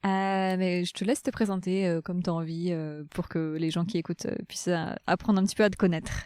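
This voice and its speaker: A woman's voice: fairly low pitch, fairly even intonation, brisk, darkish tone, smooth, dry recording. A woman hosting a podcast, moving between a scripted introduction and casual interview talk.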